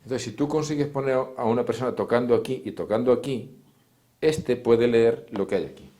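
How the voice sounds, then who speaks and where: A man's voice: medium pitch, fairly quick, mellow. A man giving an informal, didactic class, explaining step by step and addressing his listeners directly. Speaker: therapist teaching a class. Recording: echoey room.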